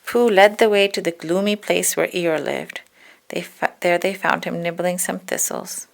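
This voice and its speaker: A woman reading a children's storybook aloud in an expressive narrating style, now and then correcting a slip. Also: on the low side, normal speed, vocal fry. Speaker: storybook reader.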